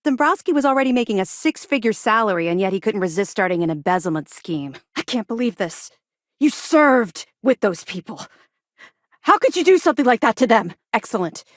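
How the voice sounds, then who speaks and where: A woman's voice: on the high side, lively intonation, a little fast, no room sound, clean studio sound, lightly acted. An actress playing a tough, driven female military officer in a video game, speaking in a firm, businesslike, clipped way. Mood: indignant.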